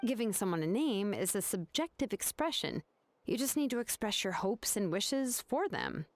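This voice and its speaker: A woman's voice: mid-range voice, very animated, normal speed. A woman playing a composed young craftswoman in a video game, laid-back yet exacting, explaining things casually. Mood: matter-of-fact, explaining. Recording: game music behind the voice.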